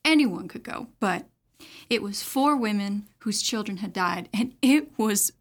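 An actress playing an inmate mother grieving her dead son, soft and hesitant. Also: mid-range voice, expressive, a little slow. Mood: reflective. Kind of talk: audio drama acting.

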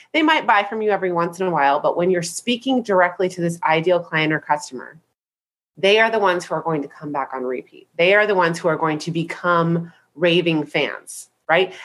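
A woman's voice: fairly low pitch, a bit bright. A woman with a stuffy, congested voice leads a coaching call. She talks in an upbeat, chatty way and keeps tossing in "right?" to check on her listeners. She sounds like a business coach teaching a group. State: congested from a head cold.

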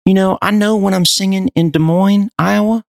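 Middle-aged male singer guest, animated storyteller, slightly high voice, dry close sound. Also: moderate pace, vocal fry, no echo. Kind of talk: casual conversation.

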